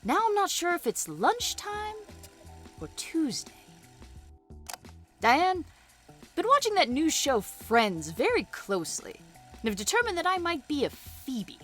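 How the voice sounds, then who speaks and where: An earnest, oddball female agent in a comic audio drama. Her voice is highish and very lively, giving mock-serious reports over light music. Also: a little slow, pauses often, full character voice. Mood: deadpan earnest.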